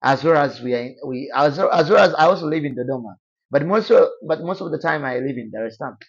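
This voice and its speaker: A young man from Tanzania with a Tanzanian accent, speaking softly and quietly as a guest in a casual interview. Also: fairly high pitch, expressive, a little fast, vocal fry, slightly rough, muffled recording. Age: young adult. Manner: soft-spoken, quiet.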